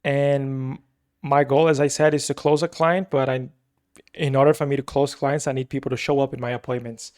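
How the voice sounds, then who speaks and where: A man's voice: mid-range voice, little pitch movement, normal speed, darkish tone. Brazilian-accented man rambling through a recap. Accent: Brazilian Portuguese. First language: Portuguese. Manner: rambling, repeats himself, many fillers. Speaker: agency owner recapping his week. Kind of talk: casual weekly recap vlog.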